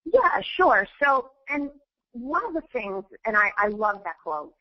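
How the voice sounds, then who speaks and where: A woman speaking as a book co-author on a webinar, explaining her points in an articulate, measured way. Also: a little high, big pitch swings, dry recording, muffled recording. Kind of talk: webinar discussion.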